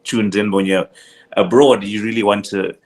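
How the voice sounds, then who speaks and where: A man hosting an interview podcast, supportive and giving steady murmured agreement. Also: lowish voice, moderate pace. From Zimbabwe. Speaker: podcast host. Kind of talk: casual interview.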